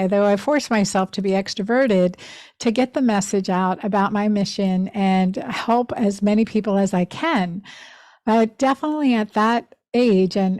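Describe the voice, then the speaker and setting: A woman's voice: medium pitch, darkish tone, no echo. A woman in her fifties from Pennsylvania, hosting a podcast and telling a personal story in an earnest, heartfelt, reflective way. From Pennsylvania, USA. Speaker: podcast host.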